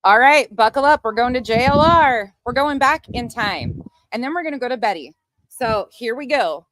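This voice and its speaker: A woman's voice: a little high, animated, moderate pace, a bit bright, rough recording. A woman hosting a live reaction stream, with a blunt, combative, sweary way of talking. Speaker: livestream host.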